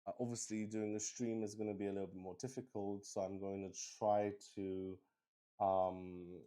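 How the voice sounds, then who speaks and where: A man thinking aloud as he plays and explains a solo roleplaying game on stream, halting and often searching for words. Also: lowish voice, flat delivery, mellow.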